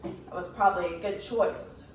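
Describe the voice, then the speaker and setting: A woman reads a picture book aloud as a storyteller, expressively, pausing for asides that point things out to young listeners. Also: on the low side, steady tone. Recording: roomy, echoing, distant mic, faint noise.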